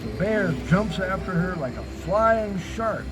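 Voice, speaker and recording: A man's voice: expressive, measured pace. A man narrating a tall animal tale as live storytelling, in a scripted performance. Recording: noisy outdoor live recording, traffic rumble.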